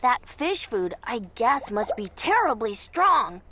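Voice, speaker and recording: A woman reading a children's picture book aloud in an expressive, theatrical storytelling manner, over background noise. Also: on the high side, takes it slowly. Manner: theatrical, dramatic delivery for children.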